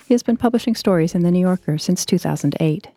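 A woman's voice: fairly low pitch, expressive, fast talker, warm tone. A woman hosting a fiction podcast, reading a scripted introduction. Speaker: host, fiction editor. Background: faint music.

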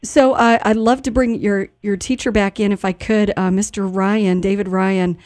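A woman's voice: mid-range voice, steady tone, moderate pace, a little mellow, no room sound, studio quality. A woman hosting a radio show, interviewing in a conversational, effusive and complimentary way.

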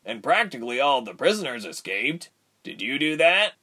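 Man playing a quiet, devoted male captive. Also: medium pitch, sing-song, normal speed, husky, voice pushed up, exaggerated voice. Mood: warm teasing. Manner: quiet, earnest.

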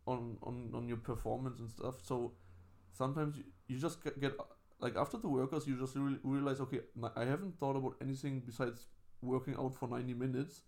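A man from Germany with a German accent, chatting casually as a podcast co-host in a relaxed, halting way. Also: mid-range voice. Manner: relaxed, halting, restarts words. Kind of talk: casual chat.

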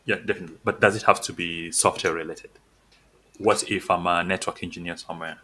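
A man co-hosting a tech podcast who speaks hesitantly, with frequent pauses. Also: sits low, fairly even intonation, sharp tone, breathy edge. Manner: hesitant, many "uh" and "kind of" fillers. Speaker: podcast co-host. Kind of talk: casual chat.

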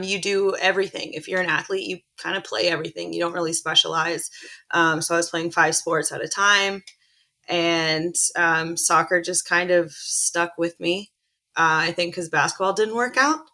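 A young woman, a professional soccer player, chatting casually about her background in an interview, telling stories in a relaxed way. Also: on the low side, moderate pace. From born in Chile, grew up in Illinois and Indiana. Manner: chatty, relaxed storytelling with frequent restarts.

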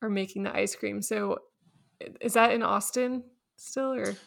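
A woman's voice: medium pitch, fairly even intonation, normal speed, creaky. A woman hosting a podcast, chatty and casual, filling her talk with "like" and laughing easily. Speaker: podcast host, company founder. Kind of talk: casual chat.

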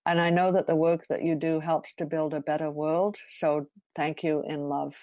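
A woman hosting a podcast interview, speaking in a gentle, earnest way. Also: sits low, level tone, moderate pace. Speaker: podcast host.

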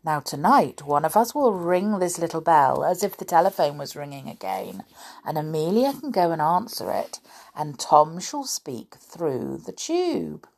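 A woman voicing a clever, scheming male clockwork clown in a children's story, hushed and deliberate. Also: deep voice, very animated, gravelly, heavily acted. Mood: conspiratorial. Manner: hushed, deliberate conspiratorial delivery.

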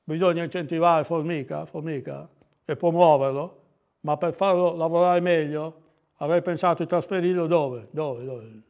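A man's voice: a little high, normal speed, a little mellow, close to an everyday voice. A smug owl business consultant from a children's fable, performed by a man. He talks fussily, echoing his own words in muttered repetitions as if savouring each one. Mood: pondering, self-satisfied. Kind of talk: fable storytelling.

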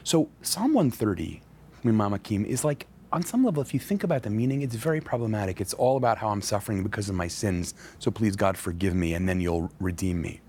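Husky low-voiced man, earnest and reflective. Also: expressive, brisk, a little mellow. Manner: earnest and reflective, with occasional self-deprecating asides. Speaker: rabbi and guest. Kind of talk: thoughtful interview conversation.

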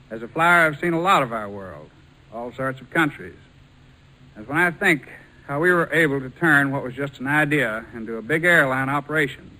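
A man's voice: mid-range voice, pushed and bright, poor audio. An American man giving an earnest, scripted guest speech on 1940s radio. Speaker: guest, airline founder and former military pilot.

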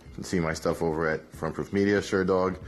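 A man, hungover, hosting a casual solo podcast recap in a relaxed, rambling way with many fillers. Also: deep voice, brisk, a little hiss or hum. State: hungover, rough voice. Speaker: podcast host, MMA analyst.

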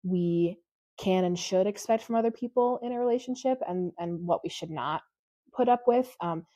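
A woman who teaches high school, talking casually about her classes in a mellow voice. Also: moderate pace, no echo.

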